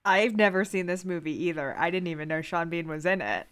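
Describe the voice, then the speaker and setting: A woman's voice: on the low side, brisk, fairly bright tone, smooth. A woman chatting casually as a podcast quiz contestant, joking and playfully argumentative. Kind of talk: casual comic chat.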